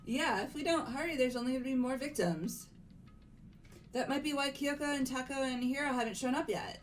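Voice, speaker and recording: Woman playing earnest teen girl, fairly high voice, brisk, direct, game character, faint music behind. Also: natural voice. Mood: concerned. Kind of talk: amateur game voice acting.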